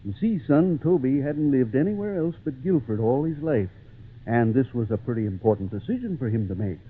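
A male actor as a fatherly old-time radio storyteller. He narrates in a mid-pitched voice, speaking as if to a young son. The recording is tinny, with clear noise. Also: lively intonation, fairly quick, natural voice. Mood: fatherly, storytelling. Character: fatherly male storyteller telling a boy a tale.

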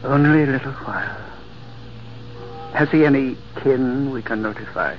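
An actor playing a gentle monk who speaks in a measured, solemn manner, in 1940s radio acting on a dull old recording. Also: male voice, mid-range voice, slight background noise, natural voice. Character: gentle middle-aged monk, quietly solemn.

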